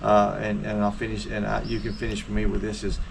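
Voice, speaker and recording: A man's voice: fairly low pitch, monotone, a little fast, gravelly, far from the mic. An older man with grandchildren, a guest talking in a joking, hesitant, stammering way. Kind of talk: casual interview. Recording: noisy location recording.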